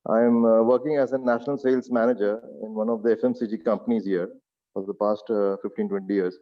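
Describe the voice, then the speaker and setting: A man from Mumbai who works as a sales manager, introducing himself in a steady, businesslike way. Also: lowish voice, fast talker, lo-fi sound. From Mumbai, India.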